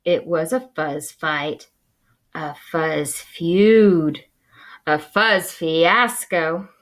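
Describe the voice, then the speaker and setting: A woman reading a children's storybook dramatically and expressively, with deliberate pauses and hushed moments. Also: low-pitched. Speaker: school librarian reading aloud.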